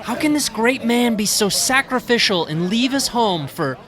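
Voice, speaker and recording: Casting: a woman for a credulous island devotee, earnest and dramatic, with a bright, lively-pitched voice for audio comedy. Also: normal speed. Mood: adoring, indignant. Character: adoring, gullible islander, spokesperson for the crowd.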